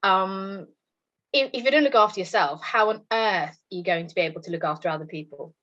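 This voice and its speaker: A woman's voice: mid-range voice, brisk, fairly bright tone, muffled recording. A woman in her thirties from Norway with a Norwegian accent, chatting openly and reflectively as a podcast guest. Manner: chatty and reflective, open about herself. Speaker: podcast guest, nutrition coach. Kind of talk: casual chat.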